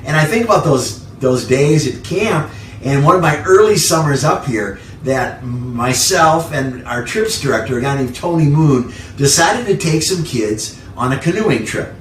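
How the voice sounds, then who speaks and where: A man's voice: a little high, lively intonation, a little slow, a bit bright. A man giving an informal sermon as a pastor, conversational, repeating key phrases for emphasis. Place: living room at home. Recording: echoey room, distant mic, faint noise.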